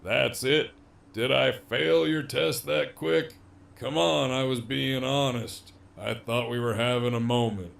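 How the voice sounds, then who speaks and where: A man playing a cocky, sardonic middle-aged captive villain in an audio drama, with a gravelly, teasing drawl. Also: medium pitch, fairly even intonation, a little slow, a bit bright. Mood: needling. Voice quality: gravelly, creaky.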